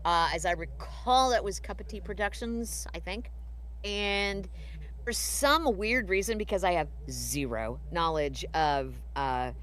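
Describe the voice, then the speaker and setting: A middle-aged woman, a voice actor answering fan questions on a live stream, reflective with frequent pauses and fillers. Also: fairly low pitch, a bit bright, a little hiss or hum. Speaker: voice actor guest.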